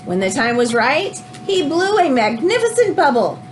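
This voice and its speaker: A woman reads a children's book aloud in an expressive, teacherly way, adding explanatory asides as she goes. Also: sits high, moderate pace, a little hiss or hum.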